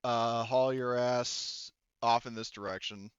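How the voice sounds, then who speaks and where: A man's voice: medium pitch, fairly even intonation, slow, smooth, no room sound, highs cut off. A man acting as game master in an online tabletop roleplaying session, talking casually with some salty language.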